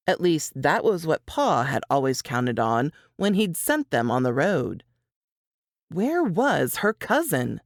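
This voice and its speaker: A woman reading scripted fiction aloud as narrator, in an expressive, deliberate, dramatic style. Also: sits low, dry recording, studio quality.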